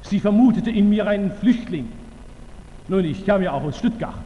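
A man preaching a sermon to a large audience, slow and emphatic with fervent declamation and wry humor. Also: high-pitched, lively intonation, warm tone, highs cut off, slight background noise. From Württemberg, Germany. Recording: reverberant hall, faint ambience.

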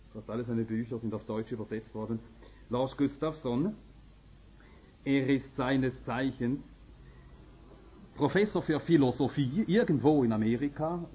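A man's voice: medium pitch, mellow, husky. A middle-aged or older man from Finland, a native Swedish speaker with a Swedish-speaking Finnish accent, preaching a sermon in an earnest, emphatic manner that climbs to urgent peaks. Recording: slightly dull lo-fi recording.

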